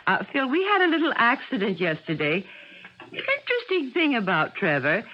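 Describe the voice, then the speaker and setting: A woman playing an anxious yet resolute middle-aged mother in a radio mystery drama, with a husky, theatrical delivery. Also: a little high, moderate pace. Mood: brittle politeness. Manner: expressive, theatrical. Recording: dull old radio recording.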